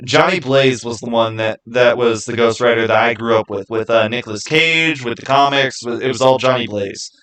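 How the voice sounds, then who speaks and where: A man's voice: medium pitch, normal speed, hardly any pauses, fairly bright tone. A man hosting an unscripted, casual gaming commentary, stating his opinions emphatically and repeating phrases to make his point. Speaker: video host giving his opinions.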